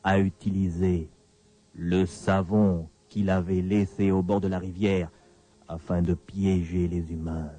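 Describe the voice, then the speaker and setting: Deep, slow male horror narrator. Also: level tone, dark tone. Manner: deliberate, ominous storytelling. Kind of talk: scripted radio horror narration. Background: faint music.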